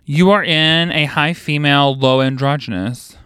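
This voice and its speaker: A man's voice: a little high, moderate pace, pushed and bright, clear, resonant tone, no echo. A man co-hosting a podcast from a parked car, bantering in a teasing, giggly way. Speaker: podcast co-host. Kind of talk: casual chat. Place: parked car in a parking garage.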